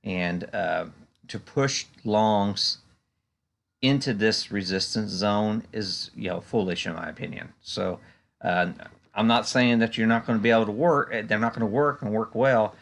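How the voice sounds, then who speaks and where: Male analyst, low gravelly voice, conversational and halting, talking through charts off the cuff. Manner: conversational, hesitant, frequent "you know". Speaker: stock market analyst and video host. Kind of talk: unscripted market chart commentary.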